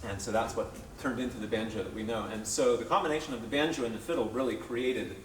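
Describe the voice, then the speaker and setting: A man talks to a live audience, explaining where a style of music comes from in a thoughtful, unhurried way. His voice sounds far from the mic and echoes around the room, with faint background noise. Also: medium pitch. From Virginia, United States. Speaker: folk musician and flute maker. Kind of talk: casual stage introduction.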